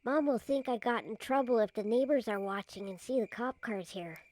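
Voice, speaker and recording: A woman's voice: fairly high pitch, normal speed, darkish tone, close to an everyday voice. A woman playing a bold, bossy, streetwise neighborhood girl with a cheeky manner in an old-time radio drama. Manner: cheeky, demanding.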